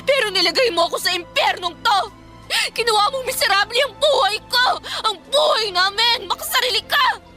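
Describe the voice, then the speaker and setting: Bitter, high-pitched Filipino daughter. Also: female voice, normal speed, over music. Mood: anguished, angry. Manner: confrontational, scornful, emotionally charged. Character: bitter, defiant daughter wronged by her father. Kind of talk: Filipino radio drama acting.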